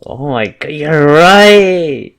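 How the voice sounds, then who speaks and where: A man's voice: on the high side, expressive, drawn-out words, fairly bright tone, clear, resonant tone. A man chatting casually as a game co-commentator, reacting with incredulity and swearing. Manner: incredulous, profane. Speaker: let's play co-commentator. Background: game music and dialogue.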